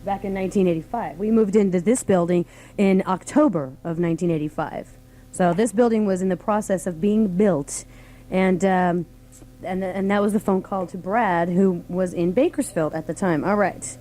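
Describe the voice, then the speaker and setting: Mellow, chatty woman radio host. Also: lowish voice, moderate pace. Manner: informal, chatty. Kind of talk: casual live radio chat, reminiscing. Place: radio studio.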